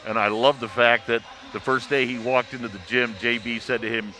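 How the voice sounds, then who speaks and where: A man working as a sports announcer, giving polished basketball analysis in measured, emphatic phrases. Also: mid-range voice, steady tone, bright, forceful tone, rough recording.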